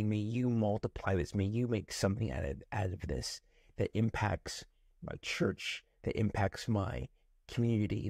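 Creaky low-voiced man giving a devotional. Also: no room sound, studio quality. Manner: gentle, unhurried, warmly reflective. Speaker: pastor. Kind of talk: prayerful devotional talk. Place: home room with ceiling fan. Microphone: webcam close up, looking up from below.

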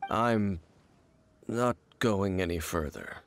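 Low, gravelly, brooding wanderer. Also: male voice, very noisy. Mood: aloof, guarded. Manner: grave, measured, guarded. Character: aloof, brooding, world-weary male wanderer. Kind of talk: video game voice acting.

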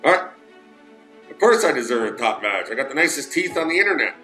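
A man's voice: medium pitch, sing-song, quick pace, bright, forceful tone. A man hosting a livestream, grumbling and ranting crudely with constant cursing. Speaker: livestream host. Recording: roomy echo with faint music.

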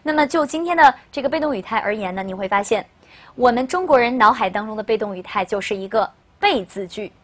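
Young woman teacher doing a lesson, kinda high voice, explains stuff and stresses the key bits. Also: lively intonation, quick pace. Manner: explanatory, addressing learners, emphasising key phrases. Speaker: English teacher. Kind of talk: scripted-style lesson presentation to camera. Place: indoor studio.

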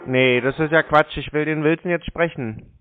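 A man's voice: a little high, steady tone, moderate pace, slight background noise. A man arguing over the phone, blunt, accusatory and insistent. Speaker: scam-baiting caller. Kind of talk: confrontational phone conversation. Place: phone call. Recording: tinny, narrow-band phone line.

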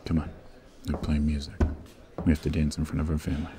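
A man acting a very deep, cold mafia heir. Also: completely flat delivery, fast talker, background noise. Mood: calm, probing. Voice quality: gravelly, very deep. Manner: stern, domineering, clipped and menacing. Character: cold-hearted, commanding young mafia heir, newly married. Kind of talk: ASMR roleplay, scripted acting.

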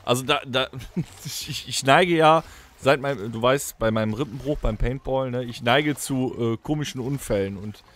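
A man's voice: medium pitch, normal speed, fairly bright tone. A man co-hosting a comedic audio-drama podcast, grumbling in a dry, sarcastic way. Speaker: podcast co-host.

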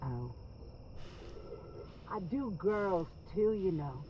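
A woman's voice: lowish voice, expressive, very slow, highs cut off. A woman acting as a brazen, bitter truck-stop prostitute in an audio drama. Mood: propositioning.

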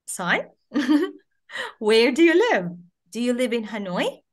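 A woman in her twenties from the Philippines, teaching English to a child over a video call and modelling phrases slowly in a sing-song way. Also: fairly high pitch, big pitch swings. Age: 20s.

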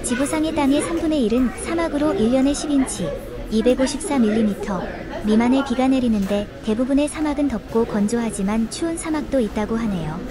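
A woman narrating a travel vlog in a calm, explanatory way, describing the sights as she walks. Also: a little high, a little fast, a crowd in the background. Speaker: travel vlogger.

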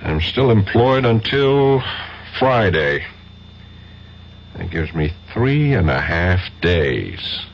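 An actor playing a wry, stubborn American federal detective in a radio drama, sardonic and informal. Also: male voice, low voice, big pitch swings, slow, gravelly, heavily acted. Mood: wry frustration. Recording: muffled, hissy vintage radio recording.

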